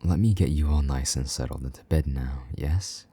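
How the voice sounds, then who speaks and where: A man voicing a possessive, jealous vampire knight in an intimate audio roleplay, speaking in a low murmur that drops into soft whispers, teasing and a little gruff. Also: moderate pace, a little hiss or hum. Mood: tender.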